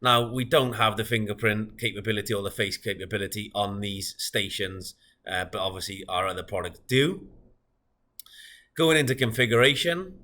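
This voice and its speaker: A man's voice: fairly low pitch, normal speed, pushed and bright. Man giving a matter-of-fact product walkthrough. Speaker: product demonstrator.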